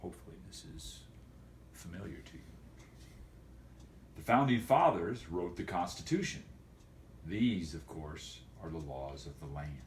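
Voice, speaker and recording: A man speaking as a teacher and host of a homemade video for students, in a folksy, wordy, deliberate way. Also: deep voice, animated, roomy sound, slight background noise. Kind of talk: casual educational vlog for students, with read-aloud.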